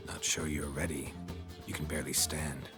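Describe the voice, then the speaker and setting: A hardened fantasy game mercenary with an extremely deep voice. He is laconic and deadpan, stoic whatever is said, over a clear music score. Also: moderate pace. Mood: terse, firm. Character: stoic, terse male monster-hunting mercenary. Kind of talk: video game cutscene acting.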